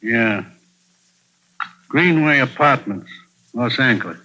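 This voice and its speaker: An actor playing a methodical police crime lab technician, terse and matter-of-fact, in a 1940s radio drama. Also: male voice, mid-range voice, animated, slow pace. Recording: old radio recording, faint noise.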